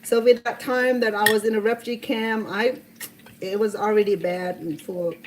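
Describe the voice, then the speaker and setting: A woman's voice: medium pitch, steady tone, normal speed, noisy background. A woman in her sixties from Cambodia with a Cambodian accent, hosting a cooking video and telling her story in an earnest, heartfelt way with many restarts. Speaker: cooking show host.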